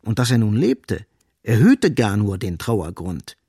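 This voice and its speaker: An actor as the sardonic narrator of a literary radio play, gravelly and husky, speaking with ironic, dramatic emphasis. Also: male voice, lowish voice, wildly swooping pitch, a little slow, warm tone, full character voice. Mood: grave irony.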